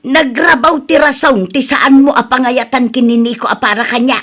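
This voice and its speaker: A woman's voice: sits high, fairly even intonation, normal speed, fairly bright tone. A woman with an Ilocano accent playing a headstrong widowed mother in a comedy drama, pleading and emphatic. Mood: indignant, insistent. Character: headstrong widowed mother insisting on marrying a younger man. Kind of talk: Ilocano comedy radio-style drama acting.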